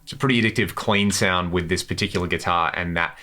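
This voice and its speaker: A man's voice: sits low, fairly even intonation, fast. A man hosting a guitar gear tutorial, explaining things step by step in a casual, conversational way. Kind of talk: instructional demo, casual explanation.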